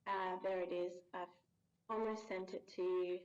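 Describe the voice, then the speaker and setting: A woman voice actor, a guest on a remote podcast, chatting casually and laughing often, her voice jumping up when she gets excited. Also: fairly low pitch, moderate pace, lo-fi sound. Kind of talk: casual interview chat.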